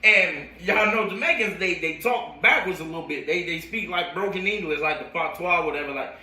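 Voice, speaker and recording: An American man who works as a coach, telling a personal story in animated, slangy casual speech and acting out the dialogue and sound effects. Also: on the high side, brisk. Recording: roomy echo, distant microphone.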